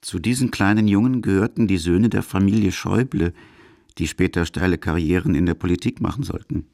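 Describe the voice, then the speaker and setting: Male documentary narrator, low pitch with gravelly edge, scripted delivery. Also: fairly quick, a little mellow, no room sound.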